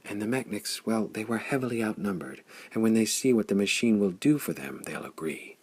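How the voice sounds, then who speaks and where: A man reading the part of a tender, devoted young woman in an audiobook, speaking in soft, hushed tones. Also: fairly low pitch, a little fast, breathy edge. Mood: dreamy. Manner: soft, hushed whispering delivery. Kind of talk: audiobook character voice.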